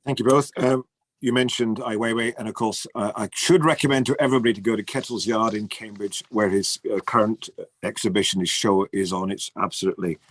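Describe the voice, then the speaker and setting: A man moderating a panel, briskly passing on audience questions. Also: lowish voice, steady tone, dry recording.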